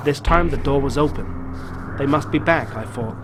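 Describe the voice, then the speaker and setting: Man acting a worried small-town storyteller, highish voice. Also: normal speed, noisy background, close to an everyday voice. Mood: somber, reflective. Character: worried small-town man recounting a tragedy, gentle with children. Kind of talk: narrated game story with acted dialogue.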